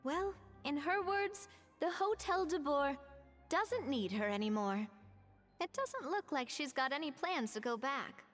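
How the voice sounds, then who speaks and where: High, lilting friendly young woman. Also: lively intonation, unhurried, a bit bright, long reverb tail, soft background music. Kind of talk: anime-style video game voice acting.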